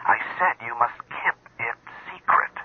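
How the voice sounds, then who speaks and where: An actor in a radio drama playing a secretive, insistent husband heard over a telephone. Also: male voice, normal speed. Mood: urgent, secretive. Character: urgent, secretive police sergeant husband on the phone. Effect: telephone filter. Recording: muffled narrow telephone line.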